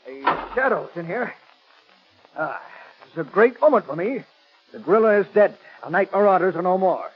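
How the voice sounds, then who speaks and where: Frustrated, blustering commissioner, high-pitched, 1930s radio acting, dull vintage recording. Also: male voice, expressive, moderate pace, background noise. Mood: brisk, triumphant.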